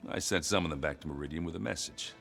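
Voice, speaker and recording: Very deep, blunt wisecracking warrior. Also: male voice, fast, gravelly, a bit bright, faint music behind, heavily acted. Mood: sheepish, earnest. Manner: blunt, folksy, rambling, jokey. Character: gruff, good-natured, wisecracking warrior man, self-deprecating. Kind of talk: naturalistic video game cutscene acting.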